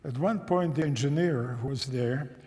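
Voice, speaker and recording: A man in his nineties, a native German and Czech speaker, recounting a personal memory to an audience in a thoughtful, measured way, often restarting phrases and asking listeners questions. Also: medium pitch, a little mellow. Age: 90s. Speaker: guest speaker. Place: church sanctuary. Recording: reverberant church hall.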